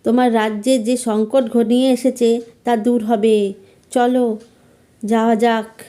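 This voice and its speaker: A woman voicing a kindly fairy in a storybook tale, gently reassuring and guiding. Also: fairly high pitch, level tone, slow. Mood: gentle, guiding. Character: kindly, reassuring female salt fairy with magic.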